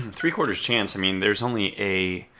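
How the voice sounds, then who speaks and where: Hoarse man muttering through a strategy game. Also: fairly low pitch, unhurried, pushed and bright, slight background noise. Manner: muttering to himself while playing. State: losing his voice, clearing his throat. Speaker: let's play host. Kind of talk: casual gameplay commentary.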